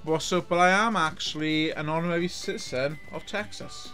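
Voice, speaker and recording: A man's voice: fairly high pitch, moderate pace, bright, forceful tone, soft background music. Welsh man, middle-aged, casual rambling game-stream chat. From Wales. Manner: rambling, many restarts and repeated words. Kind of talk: casual live-stream commentary. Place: home room.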